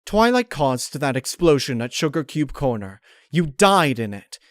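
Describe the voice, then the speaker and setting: A man voicing a refined, vengeful female pony in a dark storybook reading, with formal, condescending, theatrical diction. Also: a little high, big pitch swings, measured pace, no room sound, studio quality, voice pushed up. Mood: cold, accusing. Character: refined vengeful female pony, chillingly polite, unhinged underneath.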